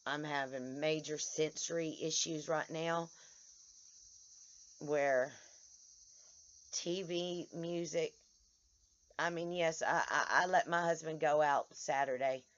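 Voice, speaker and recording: A hoarse woman vlogging casually to her followers outdoors, tired and halting, often restarting her words. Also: deep voice, highs cut off. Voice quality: halting, many restarts and stammers. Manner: tired, speaks in short broken phrases. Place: outdoors in the sun.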